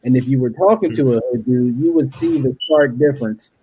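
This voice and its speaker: A man's voice: mid-range voice, a little fast, husky, poor audio. A man from Philadelphia, a panel guest in a casual video call chat, telling stories with street slang. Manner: lively storytelling with slang and laughs. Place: home room on a video call.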